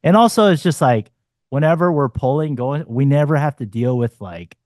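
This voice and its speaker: A man's voice: mid-range voice, animated, normal speed, darkish tone, no echo, clean studio sound. A man in his forties, a dating coach talking bluntly and casually on a panel, swearing freely and throwing in punchy asides. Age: 40s.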